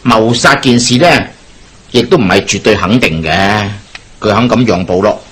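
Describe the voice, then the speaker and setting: Husky, cynical Cantonese schemer. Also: male voice, on the low side, normal speed, fairly bright tone. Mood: resigned. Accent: Hong Kong Cantonese. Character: cynical, pragmatic schemer, a sacked employee. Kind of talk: Cantonese radio drama acting.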